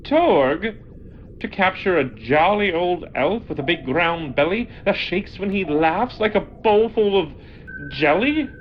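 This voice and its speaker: Woman playing a low, sneering Martian villain. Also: lively intonation, unhurried, muffled recording, a little hiss or hum. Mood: mocking disbelief. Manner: theatrical, sarcastic, menacing. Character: sadistic, scornful Martian henchman Voldar, quarrelsome and sneering. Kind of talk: comic audio drama.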